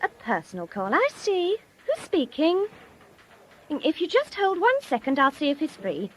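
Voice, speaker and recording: A woman playing a brisk, sing-song switchboard receptionist in a comic radio play. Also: high-pitched, huge pitch swings, a little hiss or hum, exaggerated voice. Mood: businesslike.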